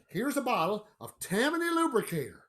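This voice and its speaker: A man's voice: very high-pitched, huge pitch swings, a little fast, a bit bright, heavily acted. An actor playing a brusque, down-to-earth father in a radio play, blustering and dismissive. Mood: grumbling, determined.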